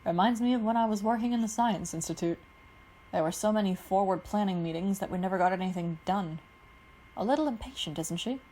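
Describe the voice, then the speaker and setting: A woman's voice: mid-range voice, brisk, mellow, slight background noise, natural voice, poor audio. A woman voicing a kind, wry middle-aged father who is a scientist.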